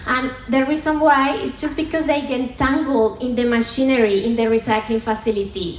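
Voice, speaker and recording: Woman, Colombian accent, slightly high voice, playful teasing stage talk, echoey hall, faint ambience. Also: slight background noise. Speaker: sustainability manager giving a comedy science talk. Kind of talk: stand-up style talk on stage. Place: theatre stage at a comedy festival.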